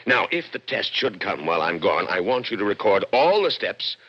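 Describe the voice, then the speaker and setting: An actor playing a proud, coldly rational middle-aged inventor in a radio drama, lecturing emphatically. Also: male voice, on the low side, big pitch swings, moderate pace, slightly rough. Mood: assured, instructing. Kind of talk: 1960s radio drama acting. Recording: dull old radio broadcast recording.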